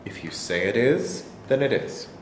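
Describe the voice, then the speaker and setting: A man's voice: medium pitch, big pitch swings, moderate pace, natural voice. A man acting the part of a defensive, evasive elderly Holocaust survivor accused of collaborating, protesting in a staged play reading. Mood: evasive, weary. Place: indoor room at a staged reading. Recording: echoey room, light noise, distant pickup.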